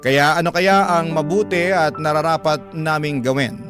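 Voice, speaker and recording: High-voiced man narrating over music. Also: fairly even intonation, normal speed. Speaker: radio host reading a listener's letter. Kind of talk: dramatic scripted narration.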